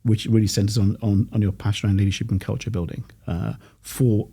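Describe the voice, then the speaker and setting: A male podcast host introducing his guest in a halting way, with many pauses and restarts. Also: lowish voice, level tone, creaky, mellow, slightly rough. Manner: halting, many fillers and restarts, self-deprecating. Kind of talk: interview introduction.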